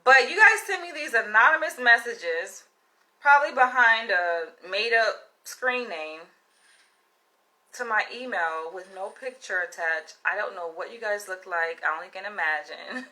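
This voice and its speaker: A woman's voice: mid-range voice, animated, measured pace, sharp tone, poor audio. A young woman with a Southern American accent, chatting casually to her viewers and calling them "you guys" again and again. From Southern United States. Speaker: vlogger. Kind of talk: casual direct-to-camera chat.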